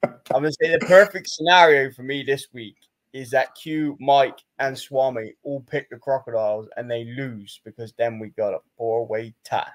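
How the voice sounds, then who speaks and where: A man's voice: mid-range voice, steady tone, normal speed, low-quality recording. Male co-host, sure of his picks, plain delivery, ribs his partner now and then. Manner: confident, matter-of-fact, teasing. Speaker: podcast co-host. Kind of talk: casual sports picks chat.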